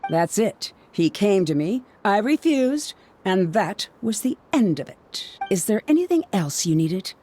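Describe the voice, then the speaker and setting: A woman's voice: medium pitch, big pitch swings, unhurried, dark tone, slight background noise. An actress playing a proud, irritable young woman in a video game, haughty and brusque. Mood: irritated, dismissive.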